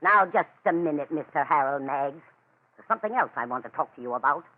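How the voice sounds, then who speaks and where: A domineering, nagging wife from vintage radio drama. Her scolding is sarcastic and very animated in pitch. The recording is narrow and tinny, like an old telephone or radio. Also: a little fast. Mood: scornful, scolding. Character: shrewish, nagging, domineering working-class wife.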